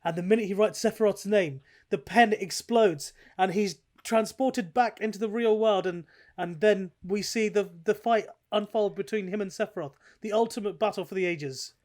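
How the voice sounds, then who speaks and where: A British man with a high-pitched voice co-hosts a casual podcast. He talks in an animated, sweary style, trading banter like he is among friends. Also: moderate pace, few pauses. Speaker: podcast host. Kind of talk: casual chat.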